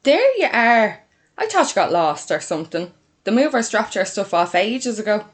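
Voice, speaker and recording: A woman's voice: medium pitch, moderate pace, natural voice. A woman voicing a welcoming, breezy, house-proud sister with an Irish accent in a story. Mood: welcoming, excited.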